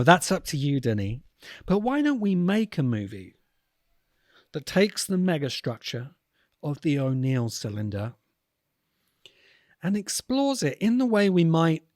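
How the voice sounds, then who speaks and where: Male podcast host, medium-pitched, dry recording, earnest and emphatic commentary with deliberate pauses. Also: darkish tone, no echo. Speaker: podcast host and video essayist. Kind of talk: reflective spoken commentary.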